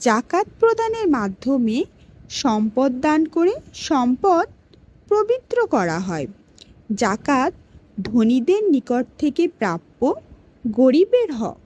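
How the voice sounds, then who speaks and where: Lady doing a cartoon teacher lady, slow n lively intonation, patiently explaining stuff to kids. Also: high-pitched, full character voice. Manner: slow, deliberate, instructive, addressing pupils directly. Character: female schoolteacher patiently teaching Islamic basics to children. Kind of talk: scripted cartoon lesson narration.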